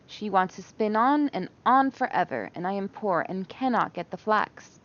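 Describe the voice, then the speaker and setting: A woman's voice: medium pitch, animated, moderate pace, lo-fi sound, close to an everyday voice. A woman voicing an ashamed, poor peasant mother in a storybook reading. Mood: excusing.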